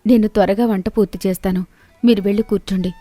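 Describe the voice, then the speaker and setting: A woman's voice: mid-range voice, steady tone, fast, dark tone, no echo, close to an everyday voice. A woman voicing a kind, hardworking young daughter-in-law in a village moral story, earnest and respectful. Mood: gentle, reassuring.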